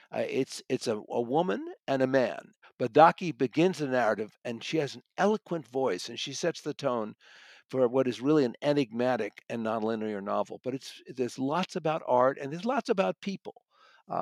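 A man's voice: mid-range voice, a little mellow, dry recording. Thoughtful man reviewing books, dry close sound. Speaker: audiobook reviewer and magazine contributor. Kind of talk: conversational book review.

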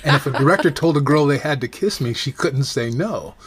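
A middle-aged man with an American accent, an interview guest telling stories in a casual, halting, self-deprecating way. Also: mid-range voice, expressive, husky. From United States. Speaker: interview guest, actor and theater professor. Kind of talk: casual conversational storytelling.

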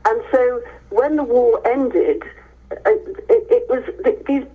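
A woman's voice: on the high side, slow, phone-quality sound. An English woman, middle-aged or older, answering as a guest author in conversation, telling stories with emphatic repetition. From Hereford, England, near the Welsh border.

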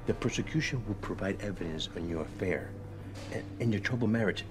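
A low-pitched man speaks as a defense lawyer. He talks bluntly and plainly to his client in a documentary clip. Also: animated, brisk.

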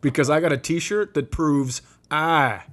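A man's voice: on the high side, a little slow. A man who works as a comedian, hosting a podcast with casual, teasing, joking talk.

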